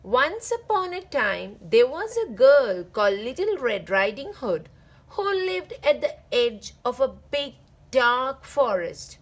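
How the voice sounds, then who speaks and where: Animated woman reading a children's storybook. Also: high voice, slow pace. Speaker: read-aloud channel host.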